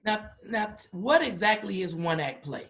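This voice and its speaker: A man's voice: high-pitched, animated, normal speed, a bit bright. A man hosting a school podcast, chatting casually with a joking, teasing, familiar manner. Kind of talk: casual interview chat.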